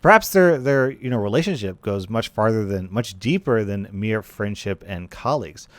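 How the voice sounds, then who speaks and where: A man's voice: lowish voice, expressive, normal speed, no room sound. Casual male gaming commentator, dry close mic. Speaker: gaming video host.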